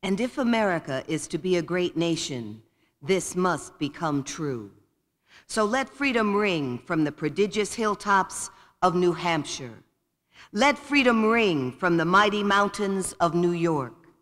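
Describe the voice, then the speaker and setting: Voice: medium pitch, animated, unhurried, a bit bright. A male voice giving a dramatic, rhetorical speech reading at a commemorative event. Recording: reverberant hall.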